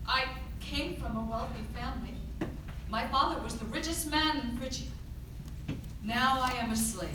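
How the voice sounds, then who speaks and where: A woman playing a devoted captive wife and mother, imploring earnestly in a staged reading of a Greek tragedy. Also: sits high. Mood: pleading. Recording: echoey theater, distant mic, clear noise.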